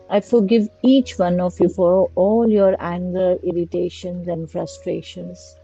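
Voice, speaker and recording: Woman, low mellow voice, meditation guide, slow repetitive blessings, muffled recording, faint music behind.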